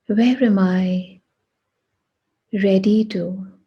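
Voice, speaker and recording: A woman guiding a meditation in a hushed, breathy voice, speaking deliberately in short phrases with long pauses. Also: medium pitch, steady tone, lo-fi sound. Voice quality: breathy, husky. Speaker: meditation guide. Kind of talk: guided meditation.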